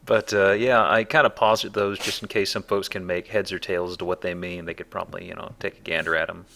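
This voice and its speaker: Low, gravelly, forceful male game commentator. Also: little pitch movement, fairly quick. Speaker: let's play host. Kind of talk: casual gameplay commentary. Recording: commentary over faint game background noise.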